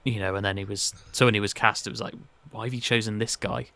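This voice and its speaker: A man's voice: fairly low pitch, steady tone, fast, creaky, sharp tone, dry recording. A man giving his opinions in casual podcast chat, thinking aloud and rephrasing as he goes. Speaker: podcast panellist.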